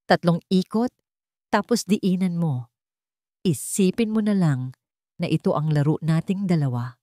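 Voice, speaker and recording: A woman voicing a gentle, affectionate father, an army general talking to his daughter in a dramatic story. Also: lowish voice, unhurried, no room sound, studio quality, lightly acted. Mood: tender.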